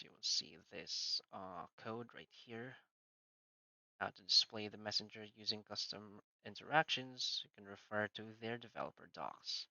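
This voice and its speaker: Man, tutorial narrator, lowish voice, sharp bright tone, dry dull lo-fi sound, step-by-step with filler words. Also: vocal fry, no room sound. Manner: plain step-by-step instructions, frequent "so" and "uh". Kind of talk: screen-recorded walkthrough narration.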